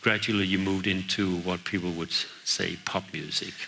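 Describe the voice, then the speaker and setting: A man's voice: deep voice, steady tone, normal speed, creaky. A man interviewing a guest on stage, asking long winding questions with hesitant fillers. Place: event venue. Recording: faint hiss.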